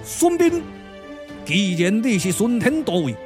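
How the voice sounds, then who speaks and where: A man's voice: sits high, very animated, a little slow, over music. A man voicing a haughty, wrathful ancient immortal sage, imperious and declamatory, in a puppet-theatre radio drama. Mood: wrathful, commanding.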